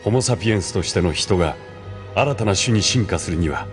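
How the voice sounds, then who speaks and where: An actor playing a grave, authoritative older man who lectures in a measured, formal way in an audio drama. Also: low-pitched, expressive, soft background music. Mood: solemn, didactic. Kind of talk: Japanese audio drama acting.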